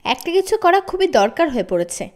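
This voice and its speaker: A woman as a high-voiced, scolding schoolmistress. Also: very animated, fairly quick, darkish tone. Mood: indignant, accusing. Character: stern, accusing woman schoolteacher, indignant and spiteful.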